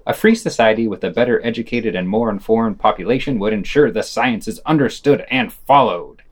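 A man's voice: lowish voice, a little fast, no room sound. A man reading scripted essays and news commentary as a podcast host.

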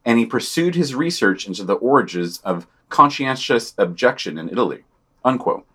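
A man's voice: mid-range voice, animated, normal speed, sharp tone, slightly rough. A man co-hosting a podcast, reading a scripted news digest with quotations and brief asides. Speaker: podcast co-host.

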